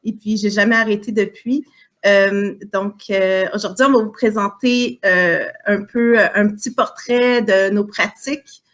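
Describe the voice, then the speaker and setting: A woman's voice: medium pitch, fairly even intonation, measured pace, a bit bright. A woman in her forties, a community worker, introducing herself on a video call panel in a friendly, inviting way. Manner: friendly, inviting, some fillers. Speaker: community organizer.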